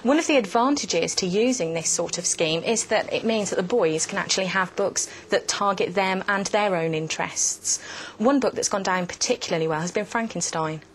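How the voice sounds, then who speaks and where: A woman's voice: mid-range voice, normal speed, a little hiss or hum. A woman explaining things in an interview, as an educator.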